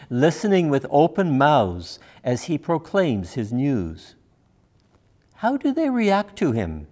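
Older male minister, slightly high register, measured sermon delivery. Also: darkish tone. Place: church sanctuary, at a pulpit.

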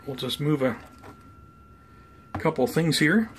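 A man giving an unhurried, conversational show-and-tell as a hobby vlogger, talking casually indoors. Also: fairly high pitch, animated. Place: indoors at home by plant shelves. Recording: clear background noise, room ambience.

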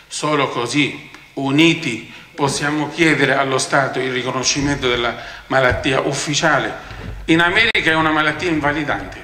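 A man's voice: mid-range voice, pushed and bright, a little hiss or hum. A man, a doctor, giving an emphatic talk at a conference, gesturing and speaking into a handheld mic. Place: large conference hall, standing by a screen. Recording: echoey hall with faint ambience.

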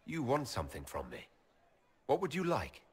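An actor playing an earnest, direct male elf leader, with a husky voice. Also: medium pitch, wildly swooping pitch, moderate pace, a little mellow, big-room sound, full character voice. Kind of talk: video game voice acting.

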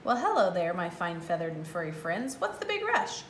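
A woman voicing a sly, smooth-talking male coyote with an oily drawl. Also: on the low side, huge pitch swings, moderate pace, reverberant, slight background noise.

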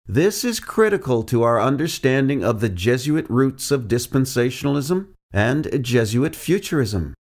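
A man delivering a scripted teaching narration as a preacher, measured and deliberate, reading out quotes from his sources. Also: mid-range voice, sing-song, darkish tone.

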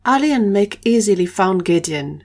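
A woman's voice: mid-range voice, expressive, normal speed, a little mellow. A woman narrator reading scripted storytelling for an audio drama.

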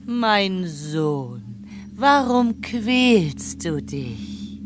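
Getragen sprechende, theatralische Geisterseherin. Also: female voice, fairly low pitch, big pitch swings, noisy background, full character voice. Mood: trance-like, solemn. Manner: slow, halting, breathy séance delivery. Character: theatrical female spirit medium, mystical, dramatically frail. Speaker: actress in an audio drama. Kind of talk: radio play acting.

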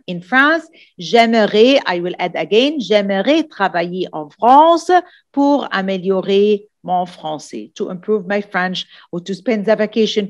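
Female language tutor, unhurried, repeats key phrases over a screen-share lesson. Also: mid-range voice. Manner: repetitive, checks in with "d'accord?" often. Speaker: French teacher. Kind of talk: exam-prep lesson explaining in English and French. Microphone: voice-over on screen recording.

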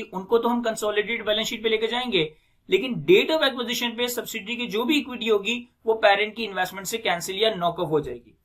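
A man with a notably high, bright and forceful voice delivers a lecture in a Pakistani accent. He explains step by step as an accounting teacher, repeating key phrases for emphasis and dropping in English technical terms. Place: indoors, recorded screen lecture at a desk.

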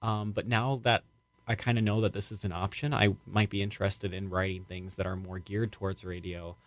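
A man's voice: fairly low pitch, little pitch movement, a little fast. A young man answering interview questions as a playwright, casually, in a hesitant, rambling and reflective way.

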